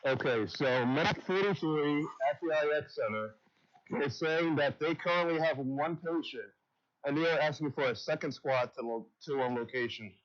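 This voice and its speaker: A man's voice: on the high side, moderate pace. A man casually giving live incident updates as a stream contributor, heard through dull lo-fi audio.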